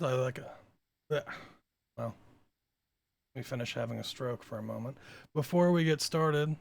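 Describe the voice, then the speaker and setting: A man with a very gravelly, husky voice leads a screenplay table read. He reads the stage directions as writer and director, with an informal, self-deprecating manner. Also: medium pitch, a little mellow.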